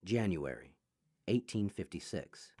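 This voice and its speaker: A low-key scripted announcer reading out dates between letters in a radio drama. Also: expressive, measured pace. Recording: very noisy, clicking audio.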